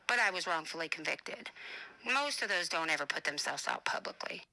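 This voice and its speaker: A woman's voice: low-pitched, expressive, fairly quick, creaky, sharp tone, poor audio. A woman speaking informally in a personal voice message, emphatic and defensive, repeating her points to drive them home. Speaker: family advocate and show host.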